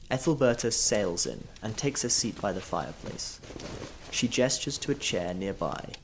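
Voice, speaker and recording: A man reading the scene directions of a period audio play in a measured, even way. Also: fairly low pitch, vocal fry, noisy background. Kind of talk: scripted narration of stage directions.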